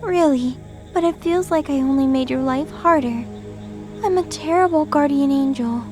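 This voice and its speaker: A woman playing a gentle, self-doubting young guardian angel in an audio drama, apologetic and hesitant in manner. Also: high-pitched, normal speed, lightly acted. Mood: sad, self-blaming. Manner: soft-hearted, apologetic, hesitant. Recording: music under the voice. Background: music.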